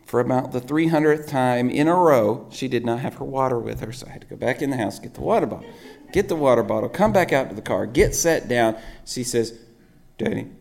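A hoarse male pastor tells a rambling, self-deprecating story during his sermon. His voice is gravelly from a sore throat and sits in a middling range for a man. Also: mid-range voice.

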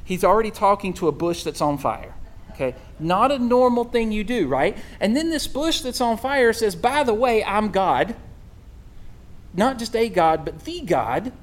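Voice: mid-range voice, big pitch swings. Chatty preacher tossing out "right?". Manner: conversational, with frequent "right?" and asides; halting restarts and fillers. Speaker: pastor. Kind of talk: sermon.

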